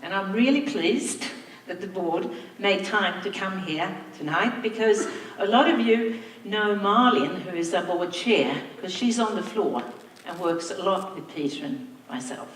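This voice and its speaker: An older woman from Sweden, a native Swedish speaker, giving a slow, reflective anniversary speech to school staff as their principal. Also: medium pitch, animated, a little hiss or hum. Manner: slow, reflective, warm thanks with soft laughs. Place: large hall, on stage. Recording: echoey hall from far off.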